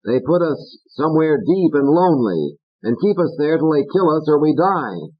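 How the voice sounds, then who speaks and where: A manic, foul-mouthed ventriloquist dummy rants in a shrill, high voice. The voice leaps up and down as he sneers and bullies. It sounds slightly husky on a dull, lo-fi recording. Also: sing-song, moderate pace. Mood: ranting. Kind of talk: audiobook character voice.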